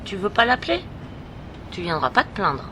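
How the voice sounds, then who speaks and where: A woman's voice: medium pitch, sing-song, normal speed, noisy background, lightly acted. A woman playing a frank, bossy but caring teenage best friend in an audio drama. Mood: scolding, firm. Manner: frank, scolding, familiar. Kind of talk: amateur audio drama acting.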